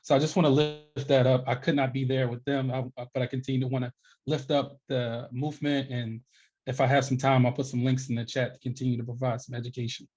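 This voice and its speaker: A man's voice: mid-range voice, level tone, fast, a little mellow. A young adult man, an educator and activist, introducing himself and speaking in advocacy on a panel video call. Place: small room at home, indoors. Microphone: headset on a video call. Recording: dull, lo-fi video call audio.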